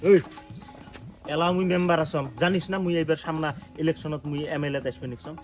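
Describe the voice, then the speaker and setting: A man's voice: a little high, steady tone, a little fast, over loud music, lightly acted. A man playing a boastful, self-important village politician in a comedy skit, bossy and indignant, with a rural North Bengal accent.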